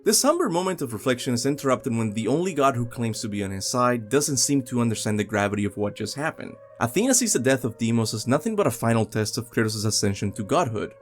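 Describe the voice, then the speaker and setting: A man's voice: mid-range voice, expressive, quick pace, few pauses. A young man narrating a scripted video game countdown as host, with music behind him.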